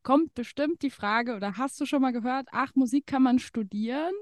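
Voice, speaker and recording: A woman's voice: high voice, moderate pace, no room sound. Chatty woman hosting a podcast. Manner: chatty, laughs often, many fillers. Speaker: podcast host. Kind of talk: casual interview chat.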